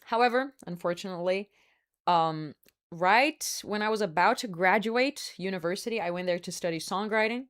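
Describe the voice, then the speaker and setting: A woman's voice: medium pitch, moderate pace. A woman from Brazil, hosting a live stream in casual, candid chat, smoking a cigar as she talks and hedging with fillers. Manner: chatty and candid, hedging with fillers, laughs often; smoking a cigar while talking. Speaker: livestream host.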